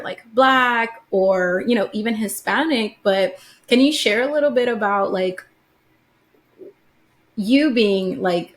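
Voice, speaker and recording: Woman host, middle-pitched voice, casual interviewer, loose phrasing full of fillers. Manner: prompting, with many "like" fillers and backchannels.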